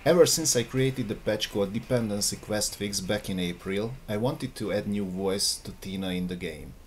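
A man's voice: on the low side, moderate pace, slight background noise. A man giving scripted video narration, presenting a game mod as its maker.

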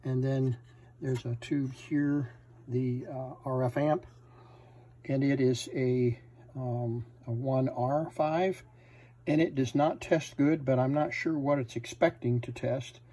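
A middle-aged American man with a husky, slightly rough voice at an average male pitch. He talks casually through a hands-on repair, pausing to think aloud. His delivery is informal and a little hesitant, like a hobbyist host showing his work. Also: fairly even intonation, warm tone. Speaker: host of a vintage radio repair channel. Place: indoor workshop with electronics benches.

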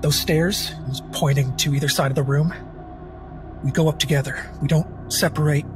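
A man playing a tabletop roleplaying game, improvising his character's actions in a halting way, searching for words. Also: fairly high pitch, steady tone. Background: background music.

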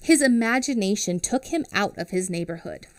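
A woman in her thirties reading a picture book aloud to children on a headset mic, pausing to explain and ask questions. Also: mid-range voice, dry recording. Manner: expressive, asks children questions. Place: small home studio with classroom backdrop.

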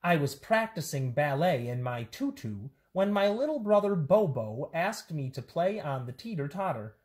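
Little schoolgirl, acted by a woman, earnest, explaining, singsong, animated pitch, storybook character. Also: normal speed.

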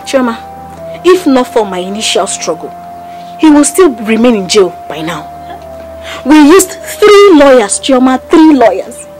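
A woman's voice: mid-range voice, sing-song, normal speed, a little mellow, faint music behind, natural voice, poor audio. A woman playing a weary, distressed young mother in a film drama, with a Nigerian accent and a sighing, lamenting manner. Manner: sighing, lamenting, emphatic repetition. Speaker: actor.

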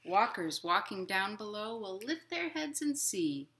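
A woman in her thirties hosting a storytime for young children at home, expressive and encouraging in simple words. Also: medium pitch, a little slow. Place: living room at home.

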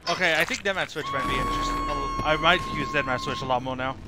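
Higher-pitched young man streaming a game. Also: wildly swooping pitch, fast, loud music behind the voice. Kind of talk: casual gameplay commentary. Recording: noisy stream with game sounds.

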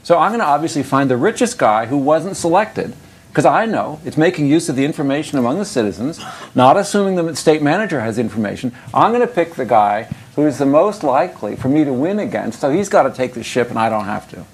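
A man's voice: on the high side, very animated, fast, breathy edge. An older man lecturing on economics in a conversational, digressive way, with wry asides. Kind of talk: academic lecture with Q&A.

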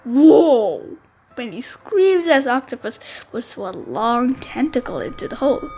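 A woman narrating a storybook for young children with expressive, deliberate phrasing. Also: a little high, husky, faint music behind.

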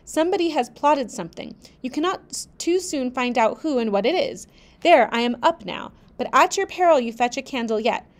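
A woman reading aloud, voicing a brusque, commanding adult gentleman who barks orders. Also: high-pitched, expressive, brisk, voice pushed up. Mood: alarmed.

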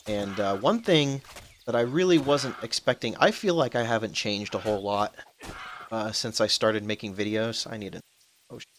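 A man's voice: fairly low pitch, moderate pace. Rambling young man narrating gameplay. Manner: rambling, chatty, swears at the game. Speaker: gaming channel host. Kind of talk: casual let's-play commentary. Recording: faint background noise, voice drops out when soft.

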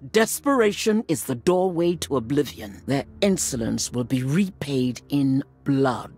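A woman's voice: rumbling low, animated, measured pace, a little mellow, husky, full character voice. A woman playing a proud, ruthless middle-aged general and warrior mother in a video game, with an imperious, menacing delivery. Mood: cold, proud. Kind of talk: dramatic video game voice acting. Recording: music under the voice.

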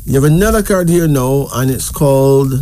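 Barbadian man, card reader, mellow and relaxed tone, chatty, reassuring. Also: medium pitch, normal speed. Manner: chatty, reassuring, tag questions. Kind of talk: casual card reading chat.

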